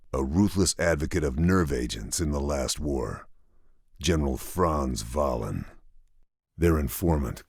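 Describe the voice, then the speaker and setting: A grim wartime sniper narrates his missions for a video game. The voice is extremely low and heavily rasped, recorded dry and close. The delivery is terse, brooding and deliberate. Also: darkish tone, no room sound, exaggerated voice. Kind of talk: scripted dramatic mission narration.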